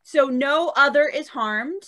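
A woman's voice: sits high, slow pace, bright, forceful tone. A woman casually talking and improvising as a player in a tabletop story game on a video call. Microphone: group video call.

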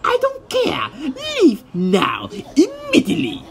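A man voicing an irate, jumpy pizza chef in an amateur cartoon comic dub, excitable and indignant. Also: extremely high pitch, slow, creaky, heavily acted. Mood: furious.

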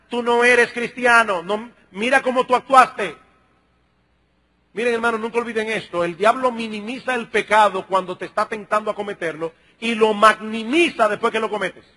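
A middle-aged man preaching a sermon as a pastor, emphatic and husky, addressing his listeners directly with rhetorical questions. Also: high voice, pushed and bright. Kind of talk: sermon, expository preaching to a congregation.

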